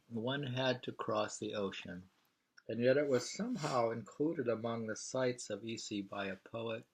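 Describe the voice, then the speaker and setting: Slow-reading man with a low voice. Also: breathy edge, rough recording. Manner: halting, deliberate reading. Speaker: reader of a poetry travel sketch. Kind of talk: informal read-aloud of haiku and travel prose.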